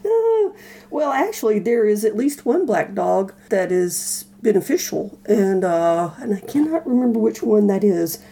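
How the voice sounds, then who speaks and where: A woman co-hosting a casual podcast, explaining things in a halting, thoughtful way. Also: medium pitch, sing-song, vocal fry, warm tone, breathy edge. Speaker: podcast co-host.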